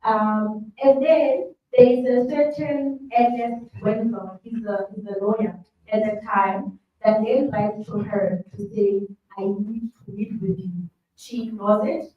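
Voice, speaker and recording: A woman with a soft voice, a panelist summarizing a book in a measured, hesitant way with frequent ums. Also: mid-range voice, fairly even intonation, highs cut off. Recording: echoey room, distant mic, faint hum.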